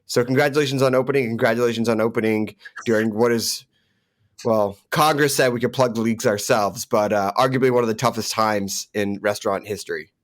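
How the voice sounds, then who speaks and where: A man's voice: medium pitch, steady tone, brisk. A man hosting a casual food podcast interview, chatty and loose with fillers. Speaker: podcast host.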